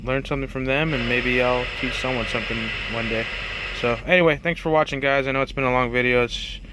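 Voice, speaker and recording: A man's voice: mid-range voice, steady tone, a little fast, noisy background. A man talking in a casual, rambling, self-effacing way, like an unscripted hobby vlog by a truck driver. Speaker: truck driver and CB radio hobbyist presenting his setup.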